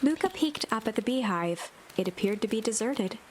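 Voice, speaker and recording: A woman's voice: a little high, expressive, moderate pace, slight background noise. A woman reading scripted storybook narration for a video game. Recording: music playing behind the voice.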